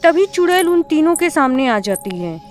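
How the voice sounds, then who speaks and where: A woman's voice: high voice, big pitch swings, moderate pace, faint music behind. A woman reading scripted narration for a cartoon horror story.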